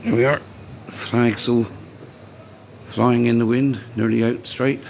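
A man chatting casually as he vlogs his day as a bar worker, rambling and greeting people as he goes, his voice going hoarse. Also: mid-range voice, level tone, slow pace, darkish tone. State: voice getting hoarse. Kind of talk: casual walk-and-talk vlog. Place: outdoors on streets by a seafront. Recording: street noise behind the voice.

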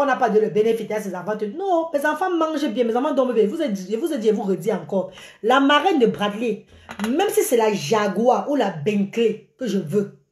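A woman's voice: fairly high pitch, reverberant, a little hiss or hum. A middle-aged woman, ranting animatedly to her followers on a live phone stream from a small room. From Cameroon. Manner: animated and exclaiming, gesturing a lot. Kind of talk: live video rant to followers. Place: small indoor room by a curtain. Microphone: phone at arm's length.

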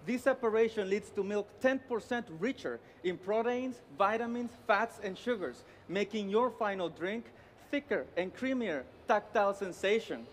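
A man in his thirties from Honduras with a Honduran Spanish accent gives a formal, deliberate, rehearsed competition presentation as a barista. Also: high voice. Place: large indoor competition arena with empty stands. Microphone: headset. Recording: echoey hall with clear background noise. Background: venue ambience and noise.

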